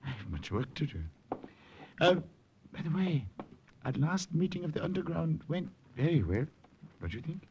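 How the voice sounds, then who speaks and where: An actor playing a kindly, sly French village priest in a radio drama, with a French accent and a courteous, hesitant manner. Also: male voice, on the high side, sing-song, moderate pace, long pauses, darkish tone, slight background noise. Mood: warm, sly. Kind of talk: 1950s radio drama acting. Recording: muffled old radio recording.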